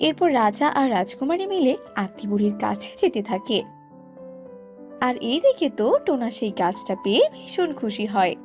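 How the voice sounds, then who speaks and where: A woman's voice: on the high side, sing-song, normal speed, a little mellow, soft background music. A woman narrating a scripted cartoon folk tale.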